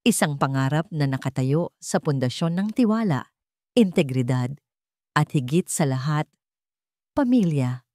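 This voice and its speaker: A woman's voice: low voice, expressive, a little slow, long pauses, dark tone, no room sound, clean studio sound. A woman voicing a wealthy, confident cheating husband who talks smugly, as if giving a speech. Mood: confident, proud. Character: smug, scheming cheating husband, a wealthy hotel heir.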